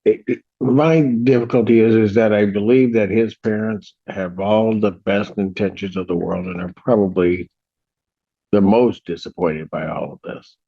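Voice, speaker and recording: A man speaking as a judge from the bench, stern and emphatic, often halting and repeating himself. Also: on the low side, steady tone, gravelly, husky, dull audio. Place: courtroom.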